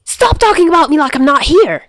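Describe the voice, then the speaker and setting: A woman's voice: sits high, very animated, brisk, no room sound, clean studio sound, pitched above the performer's own voice. A woman voicing a manic, indignant young male mad scientist, screechy and pompous, for an amateur audiobook. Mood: screeching accusation.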